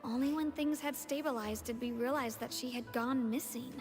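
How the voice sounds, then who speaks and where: A woman voicing a formal, matter-of-fact palace messenger in a video game, reporting in a measured way. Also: high voice. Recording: game music behind the voice.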